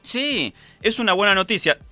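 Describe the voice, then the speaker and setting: A man's voice: high voice, lively intonation, moderate pace, sharp tone, faint music behind. A man with an Argentine accent hosting a radio show in casual, chatty conversation, with frequent fillers. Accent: Argentine Spanish. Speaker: radio host.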